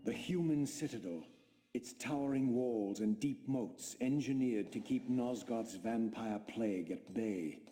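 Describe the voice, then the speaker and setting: An actor playing a bitter, proud undead vampire in a video game, with a theatrical, eloquent, brooding delivery. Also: male voice, mid-range voice, measured pace, warm tone, close to an everyday voice. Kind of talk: scripted video game acting.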